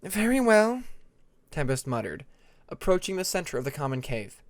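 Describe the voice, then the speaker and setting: A man's voice: mid-range voice, expressive, a little fast, dry recording, studio quality. A man reading a scripted story aloud as a dramatic audiobook narrator. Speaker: audiobook narrator of fan fiction.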